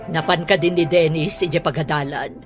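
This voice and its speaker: A woman's voice: sits low, fairly even intonation, moderate pace, music in the background, natural voice. An actress playing a proud, insistent, middle-aged mother in an Ilocano radio drama, speaking in a domineering and emphatic way.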